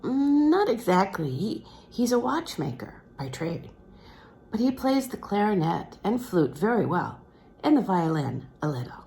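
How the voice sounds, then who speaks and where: A woman's voice: mid-range voice, very animated, measured pace, warm tone. Audiobook role: timid young female pupil, self-effacing and hesitant, varied intonation, read by a woman. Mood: shy, modest. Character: shy, modest young small-town woman music student. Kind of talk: storybook character reading from a literary novel.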